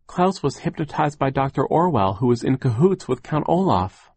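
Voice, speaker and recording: Man voicing an earnest, urgent young girl, lively pitch. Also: normal speed, slightly rough. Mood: explaining, urgent. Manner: urgent, insistent.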